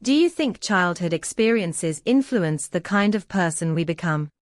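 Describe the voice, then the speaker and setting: A woman's voice: lowish voice, animated, normal speed, dry recording, clean studio sound. Woman examiner asking neutral questions. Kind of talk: reading exam questions.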